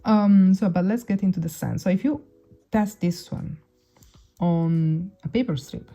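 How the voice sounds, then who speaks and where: A woman's voice: on the low side, measured pace, mellow. A young woman whose first language is Italian, chatting casually to camera as a perfume reviewer, with many restarts and fillers. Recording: dull lo-fi sound.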